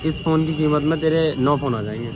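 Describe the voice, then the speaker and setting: A man's voice: fairly high pitch, brisk. A man in his twenties, vlogging in casual chat and teasing his friend. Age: 20s. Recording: outdoors with loud traffic noise.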